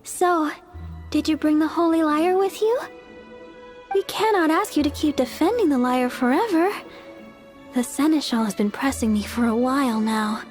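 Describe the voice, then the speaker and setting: A gentle, earnest young church deaconess, played by a woman. Her voice sits very high and soft-spoken. A little music hums faintly beneath her. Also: moderate pace, natural voice. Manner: polite, earnest. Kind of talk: game cutscene dub. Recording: game cutscene with faint music.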